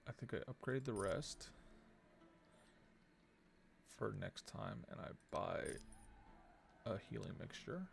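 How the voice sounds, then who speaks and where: A man with a fairly low, heavily fried voice does casual live game commentary. He mutters and thinks aloud, over clear background noise. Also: slow, long pauses. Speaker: game streamer.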